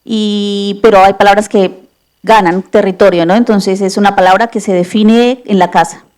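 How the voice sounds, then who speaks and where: Colombian woman from Bucaramanga, mellow. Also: medium pitch, fairly even intonation, moderate pace. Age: adult with a partner. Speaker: panel writer. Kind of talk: literary panel discussion. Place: library event hall.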